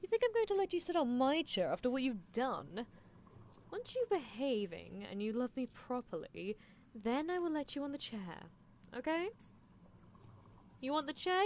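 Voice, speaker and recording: A woman's voice: high-pitched, big pitch swings, normal speed, a little hiss or hum. A woman playing a playful, possessive young female mad scientist in an improvised ASMR roleplay, teasing her captive with clinical, mock-polite menace. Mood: possessive, insistent.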